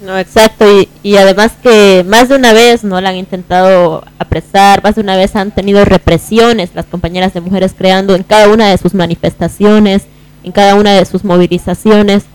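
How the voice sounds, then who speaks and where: A woman's voice: mid-range voice, steady tone, normal speed, darkish tone, smooth, poor audio. A woman panelist in a live radio discussion, making her points in emphatic, repeated phrases. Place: radio studio.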